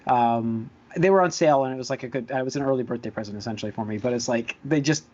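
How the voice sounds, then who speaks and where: A man's voice: mid-range voice, quick pace, darkish tone, muffled recording. A man hosting a podcast in casual chat, rambling and easily sidetracked as he talks. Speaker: podcast host.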